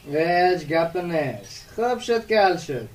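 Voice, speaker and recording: A man acts a polite young village man for a radio play. He speaks in a high, lively voice with a courteous, questioning manner, sounding a little away from the microphone. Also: distant mic, slight background noise. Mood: inquisitive. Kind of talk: radio drama acting in dialogue.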